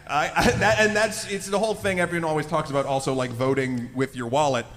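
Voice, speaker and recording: Higher-voiced male host in an echoey hall. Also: fairly even intonation, quick pace, fairly bright tone. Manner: informal, addresses the audience. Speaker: podcast host. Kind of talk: live panel discussion. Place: large convention room with live audience. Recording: echoey hall, clearly noisy.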